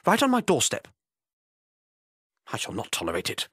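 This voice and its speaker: A man's voice: medium pitch, wildly swooping pitch, normal speed, a bit bright, husky, no echo, studio quality, full character voice. A man performing a dramatic monologue as a haughty, indignant man, fussy and clipped in his outrage. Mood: indignant, outraged. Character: indignant, haughty man obsessed with a suspicious match seller.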